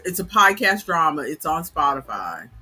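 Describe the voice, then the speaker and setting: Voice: mid-range voice, sing-song, normal speed, sharp tone, soft background music. A middle-aged co-host with a slight Southern accent, steering a casual live chat with short prompts. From New York, then the US South. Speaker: livestream co-host.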